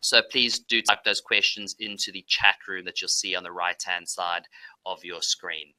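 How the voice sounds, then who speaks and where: A man's voice: low-pitched, fairly even intonation, moderate pace, pushed and bright, low-quality recording. A man hosting a live business webinar, introducing guests with frequent ums and uhs. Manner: hesitant, many ums and uhs. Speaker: webinar host.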